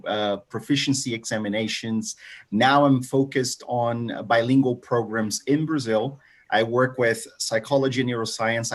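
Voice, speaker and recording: A man introducing himself on an online panel as an educator, friendly, with "uh" and "you know" fillers. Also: mid-range voice.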